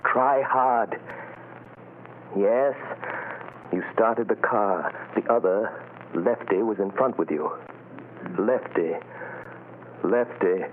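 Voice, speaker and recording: An actor playing a commanding, intensely focused mind reader in a 1940s radio drama, with dramatic pauses. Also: male voice, on the low side. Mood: focused, urgent. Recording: muffled, hissy old radio broadcast.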